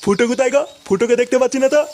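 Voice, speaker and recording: A man's voice: very high voice, very fast, a little mellow, a little hiss or hum. A man voicing an irritable, blustering old grandfather in a comedy cartoon. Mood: grumpy, irritated. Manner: cursing, blustering, complaining. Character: foul-mouthed, irritable old grandfather, grumbling and bellowing.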